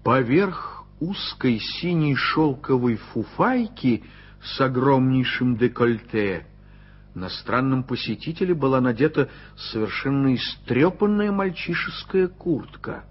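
A man narrating a radio play in an expressive storytelling manner. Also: mid-range voice, unhurried, slightly rough. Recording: dull lo-fi old radio recording.

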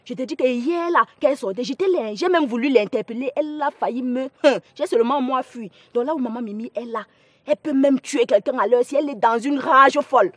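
A woman's voice: sits high, brisk, a bit bright, breathy edge, no echo. A woman with a Cameroonian accent playing an evasive, pleading young gossip in a family drama. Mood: gossipy, reassuring.

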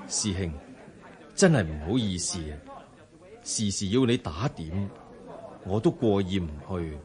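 An actor playing a humble, dignified Buddhist monk in a radio drama, speaking in a measured, formal and modest way. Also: male voice, low voice, animated, darkish tone. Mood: calm, courteous. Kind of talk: Cantonese radio drama acting.

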